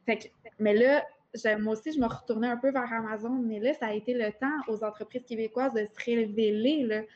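A woman's voice: on the high side, fairly even intonation, fairly quick, a little mellow, muffled recording, slight background noise. A woman with a Quebec French accent, a marketing director chatting as a podcast guest. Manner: chatty, frequent fillers and restarts. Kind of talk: casual interview chat.

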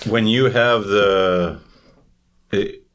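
A man's voice: fairly low pitch, very slow, fairly bright tone, clear, resonant tone. A man hosting a casual podcast interview, asking questions informally and thinking aloud.

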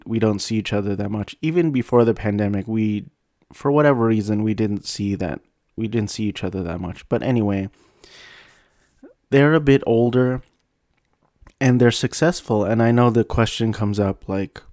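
A young man tells a personal story in a low, rambling, self-deprecating way, restarting his sentences often. The sound is dry and close. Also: moderate pace, a little mellow, no echo. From United States.

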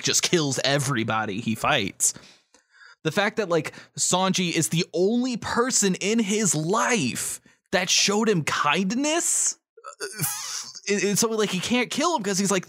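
A man's voice: on the high side, a bit bright, dry recording, clean studio sound. A man co-hosting a podcast in casual chat, enthusiastic and free with swearing. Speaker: podcast co-host.

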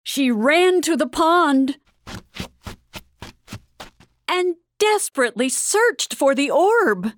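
A woman narrating a storybook tale from a script in a dramatic, deliberate manner. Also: high voice.

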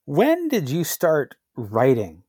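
An interviewer from Canada chats easily and laughs through their own anecdotes. Their voice sits at a middle pitch, recorded close and dry with no room sound. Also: huge pitch swings, takes it slowly, dark tone, no echo. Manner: chatty, laughs at own stories. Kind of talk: conversational interview.

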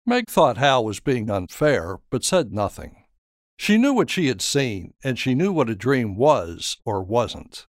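A man narrating a scripted story in a measured, dramatic storytelling manner. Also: mid-range voice, big pitch swings, dry recording, clean studio sound. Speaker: author and narrator.

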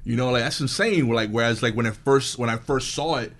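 A man who does stand-up comedy talks casually, rambling along with plenty of filler "likes." His pitch is average for a man. He sounds animated as he goes. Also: fairly quick. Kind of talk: casual chat.

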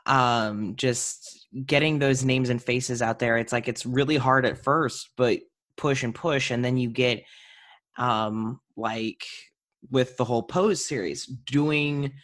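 A man's voice: medium pitch, fairly even intonation, takes it slowly, a bit bright. A man hosting a podcast, talking in a casual conversational style. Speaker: podcast host.

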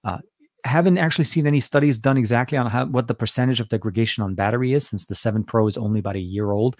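A man's voice: medium pitch, quick pace, darkish tone, no room sound. A man from Lebanon, hosting a casual live stream Q&A and answering viewers with many fillers and restarts, speaking close to a studio mic in an office. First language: Arabic. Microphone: close dynamic studio mic.

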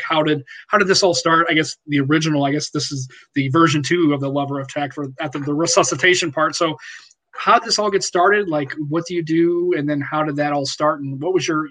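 A man's voice: a little high, a bit bright, rough recording. A man hosting a casual podcast, welcoming a guest and asking rambling questions with many restarts. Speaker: podcast host. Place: home room lit by daylight.

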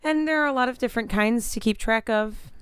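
An actress playing a gentle, sheltered teenage girl in an audio drama, earnest, polite and sincere. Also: a little high, fairly quick, smooth, no room sound, clean studio sound, lightly acted. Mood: shy, earnest. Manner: soft-hearted, sincere, polite.

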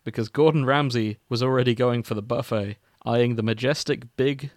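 A man's voice: medium pitch, fairly even intonation, normal speed, dry recording. Amused man reading fiction, dry sound. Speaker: host reading fan fiction aloud. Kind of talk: comic story narration with casual banter.

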